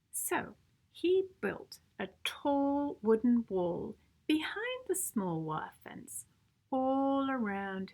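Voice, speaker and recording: A woman's voice: mid-range voice, a little mellow. A woman reading a picture book aloud to young children in a theatrical, playful way, leaning into sound words and stressed adjectives. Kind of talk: expressive storybook read-aloud for young children.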